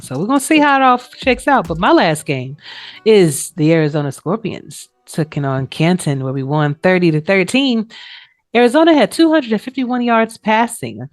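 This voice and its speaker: A woman co-hosting a sports show, giving a casual recap in informal, colloquial phrasing. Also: deep voice. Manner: informal, colloquial phrasing with trailing "you know". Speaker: co-host of a sports league show, also a player. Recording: roomy echo, music behind.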